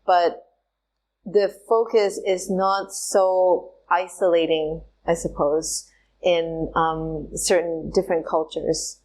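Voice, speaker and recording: A woman from Singapore, a trauma specialist, answering interview questions in a thoughtful, halting way with many pauses and fillers. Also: fairly low pitch, fairly even intonation, a little mellow, slight background noise, rough recording. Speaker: guest, somatic trauma specialist.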